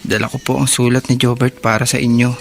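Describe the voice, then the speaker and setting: A man's voice: mid-range voice, little pitch movement, fast, creaky, noisy background, lightly acted. A man with a Filipino accent playing a devoted, burdened older brother in a radio drama, speaking with earnest feeling. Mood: sorrowful, confessing. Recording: music under the voice.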